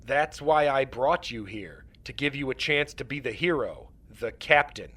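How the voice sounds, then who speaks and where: An actor playing a defiant, gruff alien starship captain in an audio drama, commanding and wry. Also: male voice, mid-range voice, expressive, unhurried, a bit bright, dry recording. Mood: wry, resigned. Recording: faint background noise and ambience.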